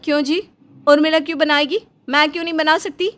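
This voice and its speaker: Woman doing a whiny jealous young cartoon wife, high voice, insistent and hard done by. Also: steady tone, quick pace, fairly bright tone, soft background music. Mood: hurt, indignant. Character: jealous, aggrieved elder daughter-in-law, young married woman.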